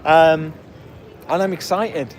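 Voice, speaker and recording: A man hosting a casual post-match podcast, chatting and reading out listener comments. His voice is hoarse and cracking, and he talks in a rambling way, full of fillers and restarts. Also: fairly high pitch, fairly even intonation, slow. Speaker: podcast host.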